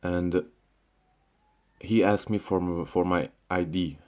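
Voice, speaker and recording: A young man from Syria rants to his camera with a very low voice. He talks casually and loosely, tripping over restarts and fillers, dropping swear words and "bro" as he goes. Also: fairly even intonation, takes it slowly, a little mellow. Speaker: vlogger. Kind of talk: casual ranting monologue.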